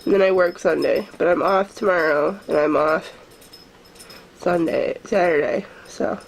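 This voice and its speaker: A woman's voice: fairly low pitch, a little slow, creaky, a little hiss or hum, rough recording. A woman chatting casually to camera in her daily vlog, sick with a stuffy nose and her voice coming and going, self-mocking and laughing often. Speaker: vlogger. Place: at home indoors.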